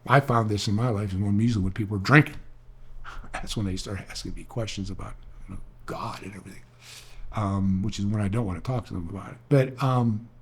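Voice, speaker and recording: A man's voice: fairly low pitch, a little fast, slight background noise. An older man, an author, telling rambling, earnest stories in a reflective talk, often restarting his sentences. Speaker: author and speaker.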